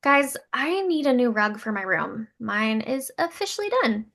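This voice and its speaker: A woman's voice: on the high side, big pitch swings, normal speed, a bit bright. A woman playing an eager, slightly awkward young teacher in a sitcom table read, chatty and hesitant. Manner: chatty, hesitant, people-pleasing. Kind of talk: sitcom table read, mockumentary talking heads.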